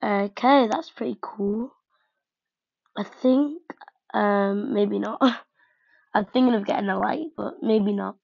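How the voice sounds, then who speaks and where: Slow, creaky young woman gaming. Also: medium pitch, dry recording. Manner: thinks aloud, hesitant, many fillers. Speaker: gaming vlogger. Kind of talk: casual game commentary. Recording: dull lo-fi home mic.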